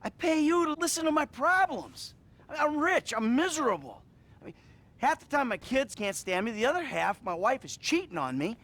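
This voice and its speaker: An actor playing a weary, defensive middle-aged ex-criminal who talks bluntly and swears, in a video game cutscene. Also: male voice, very high-pitched, very animated, moderate pace, fairly bright tone, exaggerated voice. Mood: agitated. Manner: blunt, profane, self-mocking. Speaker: therapy patient.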